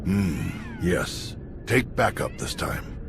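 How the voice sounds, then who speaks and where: A man playing a calm, courteous old Jedi leader in a video game, speaking in a measured, deliberate way. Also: low-pitched, creaky, over music, exaggerated voice. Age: older.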